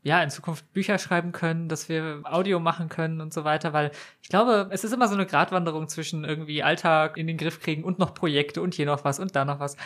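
A man's voice: a little high, steady tone, fast talker, hardly any pauses, a bit bright. A man chatting casually as a guest on a podcast.

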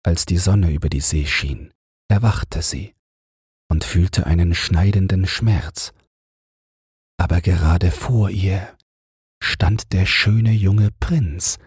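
A man reading a fairy tale as a bedtime audiobook in a measured, soothing storytelling manner. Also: low voice, a little mellow, breathy edge, dry recording, clean studio sound. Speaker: audiobook narrator.

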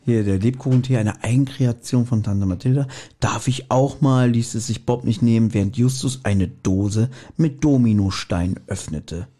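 A man's voice: medium pitch, normal speed, dark tone. A man co-hosting a podcast, chatting casually and joking dryly. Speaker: podcast co-host. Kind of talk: casual chat with some reading from a book.

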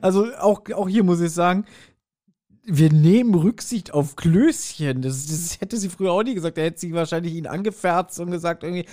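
A man's voice: high-pitched, normal speed, a little mellow. A man co-hosting a podcast, casually discussing an audio drama in a colloquial, speculative way. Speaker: podcast co-host.

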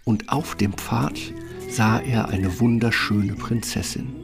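A man's voice: lowish voice, slow, gravelly, over music. A man reading a scripted fairy-tale narration for an audio play.

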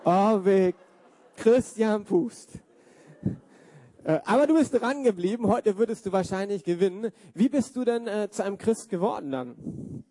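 High, mellow-voiced upbeat male host. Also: unhurried, dry recording. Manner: upbeat, encouraging questioner. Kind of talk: on-stage church interview.

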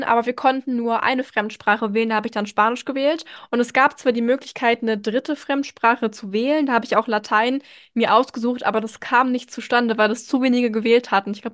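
A young woman hosting an interview, friendly and agreeable, chatting casually and often affirming what she hears. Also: a little high. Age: young adult. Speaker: interviewer, host.

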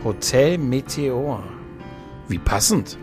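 A man's voice: mid-range voice, expressive, slow, a little mellow. A man playing a sarcastic, flippant sidekick in a German sci-fi audio drama, talking in a wry, quippy way. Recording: music under the dialogue.

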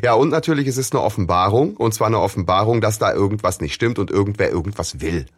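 A man's voice: fairly low pitch, lively intonation, fast talker, slightly rough. A man co-hosting a fan podcast, arguing his view in casual chat with frequent restarts. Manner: argues his point with many restarts and fillers. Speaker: podcast co-host.

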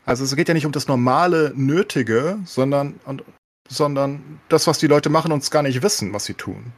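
A man's voice: mid-range voice, normal speed. A man in a casual, opinionated discussion as a podcast co-host, talking colloquially with English swear words thrown in.

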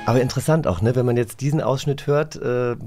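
A man's voice: mid-range voice, quick pace, over music. A man hosting a radio interview, talking casually and feeling his way through meandering questions. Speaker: radio magazine host, interviewer.

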